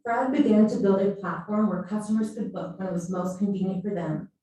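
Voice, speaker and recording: Lady narrating a company promo from a script, soft dark tone, echoey and far away. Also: medium pitch, a little fast. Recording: very echoey, distant playback.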